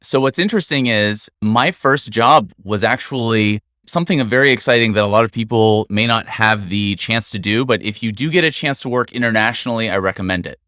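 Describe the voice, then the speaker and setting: A middle-aged man from the United States speaks as an interview guest, measured and articulate. His voice sits low and resonant. It is recorded close and dry with no room sound. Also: pushed and bright, no echo. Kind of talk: conversational interview. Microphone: studio microphone.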